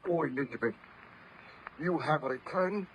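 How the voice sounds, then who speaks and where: An actor playing a troubled, courteous baron in a 1930s radio play, speaking formally in a husky, measured voice. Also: male voice, fairly high pitch, a little hiss or hum, natural voice, poor audio. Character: troubled, courteous baron defending his household. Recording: old radio drama recording.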